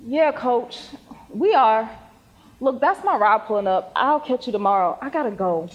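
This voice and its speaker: Woman playing a husky, determined high school girl, echoey hall. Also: animated, normal speed, lo-fi sound. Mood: casual. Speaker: stage actor. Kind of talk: stage play acting. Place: theatre stage, recorded in the hall. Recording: echoey hall with long reverb.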